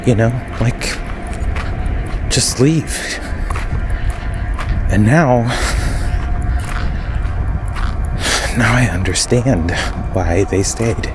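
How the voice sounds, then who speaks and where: A man playing a depressed, isolated man in a fiction podcast, speaking an intimate, halting, musing monologue with heavy pauses. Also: on the low side, expressive. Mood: musing, resigned. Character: depressed, isolated man unsettled by a strange house. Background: prominent music bed.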